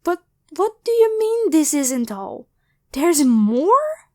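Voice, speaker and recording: A woman's voice: high-pitched, big pitch swings, takes it slowly, warm tone, full character voice. A woman voicing a grateful, flustered young woman who rambles and stammers apologetically in an ASMR roleplay spoken to the listener. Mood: astonished.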